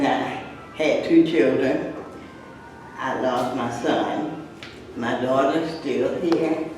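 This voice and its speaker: Older woman, from Texas, slow halting speech, echoey hall, faint background noise. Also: deep voice, darkish tone. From Texas, raised in Chicago. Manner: halting, repeats words, chuckles often. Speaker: guest, retired teacher and actress. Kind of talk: reminiscing at an event. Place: large indoor room. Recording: echoey room, mic far off.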